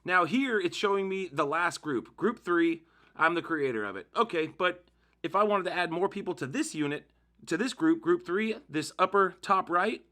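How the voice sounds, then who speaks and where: A man's voice: high-pitched, expressive, normal speed, pushed and bright. A man narrating a casual how-to demonstration, explaining informally step by step as the host of a tutorial.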